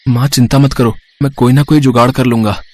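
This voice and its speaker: A man voicing an earnest, hardworking poor village boy in an animated moral story, speaking plainly and reassuringly. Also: mid-range voice, brisk, natural voice. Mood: hopeful.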